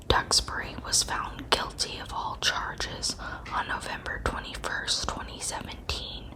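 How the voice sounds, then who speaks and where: A woman narrates in a hushed ASMR whisper, taking her time with every phrase. Her delivery is measured and factual, like scripted true crime storytelling. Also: rough recording.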